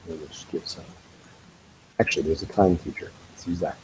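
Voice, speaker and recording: A man with a head cold, sounding congested, giving a relaxed screen-share tutorial for teachers with frequent ums. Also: very deep voice, a little fast, a little hiss or hum, low-quality recording. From Canada. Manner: relaxed, explanatory, with ums and uhs. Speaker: school tech trainer.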